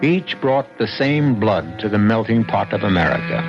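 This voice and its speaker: Male old-time radio host-narrator: oratorical, unhurried, widely varied intonation over prominent music. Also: lowish voice, animated. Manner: measured, oratorical. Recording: dull old radio recording, loud music.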